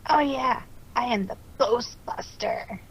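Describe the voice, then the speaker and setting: A woman's voice: fairly high pitch, slow pace, husky, dull audio. A woman voicing a brash, cocky young female cartoon pegasus, slangy and challenging, in amateur fan-fiction style. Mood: boastful.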